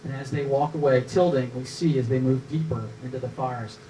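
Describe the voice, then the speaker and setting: A male narrator reads screenplay directions at a live table read. His mid-pitched voice is picked up away from the microphone, with faint noise around it. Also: steady tone, brisk, dark tone, recorded from a distance, rough recording. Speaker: narrator reading stage directions.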